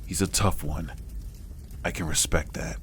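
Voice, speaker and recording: A man's voice: low-pitched, fairly even intonation, unhurried, a bit bright, a little hiss or hum. A man voicing a hard-bitten, grim vigilante in a comic dub, speaking tersely and wearily. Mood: appraising. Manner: terse, grim, world-weary. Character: hard-bitten male gun-toting vigilante ex-soldier type. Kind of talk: comic dub dramatic narration.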